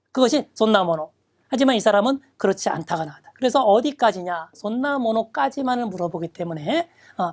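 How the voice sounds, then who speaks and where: Female instructor, slightly high-pitched, methodical exam-prep explainer. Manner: explanatory, reads Japanese phrases slowly then explains. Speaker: Japanese reading test instructor.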